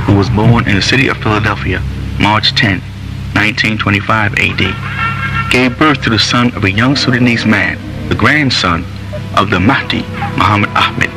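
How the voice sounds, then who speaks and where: A man narrating a birth story in a measured, solemn reading. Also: lowish voice, rough recording. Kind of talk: reading a story passage aloud.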